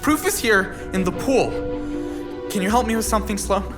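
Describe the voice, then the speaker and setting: A man's voice: sits high, expressive, normal speed. Man acting an anxious young amateur detective, music behind. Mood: earnest, insistent. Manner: urgent, hesitant, insistent. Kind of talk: audio drama acting.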